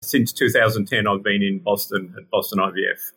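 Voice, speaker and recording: A middle-aged Australian man with a bright, forceful tone, sitting somewhat low in pitch. He talks casually and haltingly, restarting phrases as he works out his answers. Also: steady tone, husky. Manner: hesitant, repeats words while thinking. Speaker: guest scientist.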